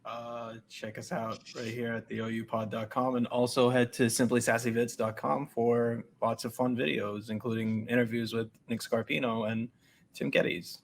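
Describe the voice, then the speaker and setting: A man co-hosting a podcast rattles off where to find the show. His mid-pitched voice has a gravelly rasp, with faint room noise behind. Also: flat delivery, moderate pace. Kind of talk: casual chat.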